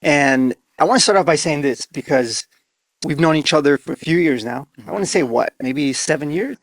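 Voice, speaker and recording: A man's voice: on the high side, animated, moderate pace, dry recording. A man hosting a casual interview, talking in an effusive, informal way. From born in Argentina, raised in the US. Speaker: podcast host.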